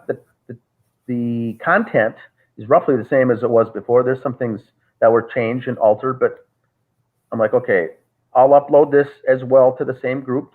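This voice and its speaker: A man talking as an interview guest on a call, telling a long story with many "uh" fillers and restarts. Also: mid-range voice. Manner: rambling, frequent "uh" fillers and restarts. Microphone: call audio. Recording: tinny phone-like call audio.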